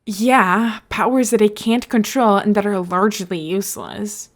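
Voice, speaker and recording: A woman's voice: mid-range voice, fairly even intonation, unhurried, lightly acted. A woman voicing a bemused, grumbling young man with a dry, reluctant manner in podfic dialogue. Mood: glum.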